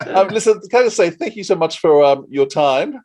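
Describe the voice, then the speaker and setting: A man's voice: high-pitched, a little mellow, a little hiss or hum. A man with an Australian accent hosting a casual interview, speaking in an effusive, appreciative way. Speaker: podcast host.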